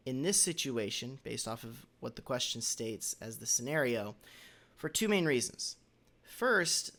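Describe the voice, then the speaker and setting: A man with a hoarse voice, recovering from a cold, giving a casual, measured developer lesson as the host of a weekly question. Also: mid-range voice, fairly bright tone. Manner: measured, slightly halting, with occasional throat clearing. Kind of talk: casual instructional talk.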